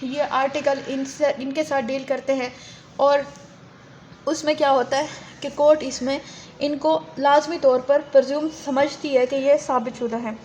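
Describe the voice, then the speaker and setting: A woman's voice: sits high, steady tone, moderate pace, a little mellow, muffled recording. A woman with a Pakistani accent giving a law lecture, reading text aloud carefully and explaining it. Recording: faint background noise.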